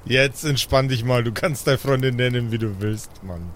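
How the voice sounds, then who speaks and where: A man's voice: mid-range voice, moderate pace, background noise. A man improvising casual in-character dialogue in a role-play podcast, slangy and somewhat exasperated.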